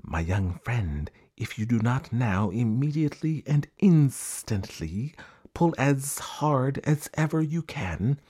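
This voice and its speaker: A man voicing a pompous python in a storybook, giving grand, drawn-out advice in long words. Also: medium pitch, animated, mellow, breathy edge. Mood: pompous warning. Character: pompous bi-colored python rock snake, ponderous adviser.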